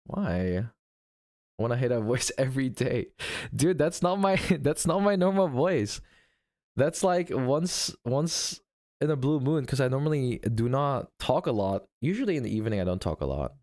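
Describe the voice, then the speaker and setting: A man's voice: medium pitch, very animated, moderate pace, darkish tone. A man live-streaming, chatting casually with his viewers, thanking subscribers and rambling with laughs and restarts. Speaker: livestreamer.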